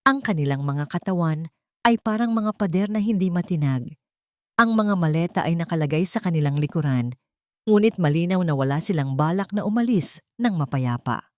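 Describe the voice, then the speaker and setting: A woman's voice: lowish voice, animated, moderate pace, no room sound, studio quality. A woman narrating a scripted dramatic story as a storyteller.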